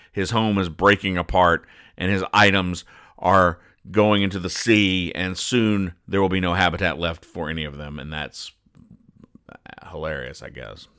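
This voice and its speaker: A man hosting a podcast, reading out and explaining comic strips in a deadpan, mock-serious manner. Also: deep voice, clean tone, dry recording, clean studio sound. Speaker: podcast host.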